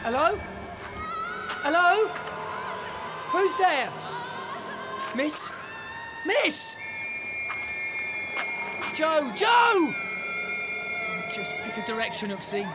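A man's voice: extremely high pitch, huge pitch swings, very slow, pauses often, exaggerated voice. An actor playing an anxious man searching and calling out in a period audio drama. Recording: loud music underneath.

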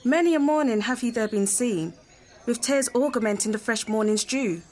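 Woman as a worried noblewoman, fairly high voice, anxious, declaimed Shakespearean verse. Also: moderate pace, a little mellow, lightly acted. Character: Lady Montague, worried noble mother. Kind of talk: student audio drama of Shakespeare.

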